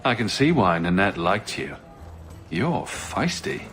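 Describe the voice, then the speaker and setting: A man's voice: sits low, sing-song, slow, fairly bright tone, big-room sound, faint music behind, exaggerated voice. A man playing a blunt, sardonic adventurer hero in a video game, dry and probing in his questions. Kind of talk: dramatic video game dialogue.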